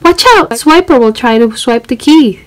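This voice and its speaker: A woman voicing a cheerful, excitable young girl explorer in a children's storybook, exclaiming and asking listeners for help. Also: fairly high pitch, moderate pace, natural voice. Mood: excited. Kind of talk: children's storybook read-aloud.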